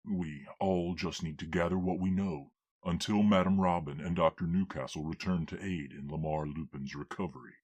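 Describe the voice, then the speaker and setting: An actor playing a stern, weary lord who keeps order among quarrelling officials, speaking slowly and with authority in an audio drama. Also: male voice, deep voice, steady tone, darkish tone, dull audio, natural voice. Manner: slow, measured, commanding. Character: stern older male lord, weary peacemaker.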